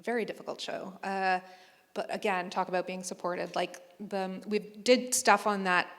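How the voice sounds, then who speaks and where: A woman chatting on a convention panel, telling lively stories and putting on playful voices. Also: mid-range voice, moderate pace. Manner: animated storyteller, playful voices. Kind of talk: casual panel chat. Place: large hall on stage. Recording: reverberant hall sound.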